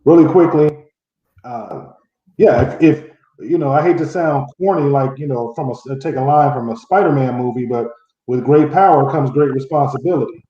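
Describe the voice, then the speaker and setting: A man's voice: medium pitch, normal speed, mellow. A man on a video call panel, making his point firmly and with emphasis. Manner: firm, emphatic. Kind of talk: casual panel discussion. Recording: dull echoey room audio.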